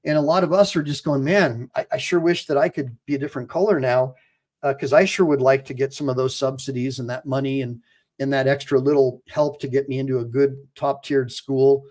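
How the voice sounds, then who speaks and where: A man's voice: medium pitch, fairly even intonation, a little fast, darkish tone. A man who is an attorney, hosting a casual livestream and talking straight to his viewers, repeating points emphatically with fillers and restarts. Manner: talks straight to viewers, reads chat aloud.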